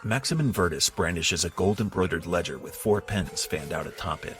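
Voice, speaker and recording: A man narrating a haunted-asylum audio drama in the second person, with a steady, descriptive delivery. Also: deep voice, normal speed. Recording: clear music under the voice.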